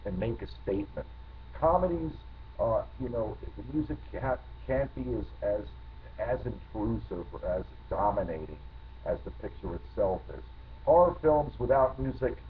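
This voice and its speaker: A middle-aged man from New Jersey, a guest composer telling rambling, reflective anecdotes with many restarts. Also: on the low side. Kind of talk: storytelling interview answers. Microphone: video call. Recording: tinny lo-fi line with faint noise.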